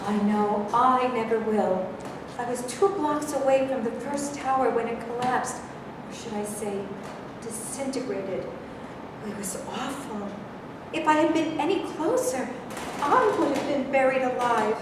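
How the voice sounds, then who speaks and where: A woman playing a sharp-tongued, sceptical character in a staged reading, with a wry, pointed way of talking. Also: a little high, measured pace, natural voice. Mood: sombre. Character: sharp-tongued, sceptical anti-war New Yorker woman. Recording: echoey hall, distant mic, clear noise.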